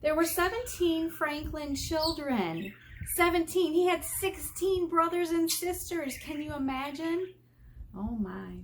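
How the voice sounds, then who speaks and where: An American woman reading a picture book aloud as an elementary teacher, expressive and teacherly, stressing key words and putting questions to her young listeners. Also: extremely high pitch, unhurried, a little hiss or hum. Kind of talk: children's read-aloud with explanatory asides.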